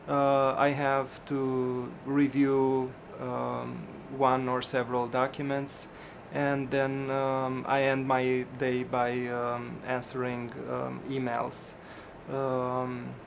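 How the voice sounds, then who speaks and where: Hesitant gravelly man. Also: medium pitch, slight background noise. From Romania. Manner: hesitant, many ums and restarts. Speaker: physician. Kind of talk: career interview answers.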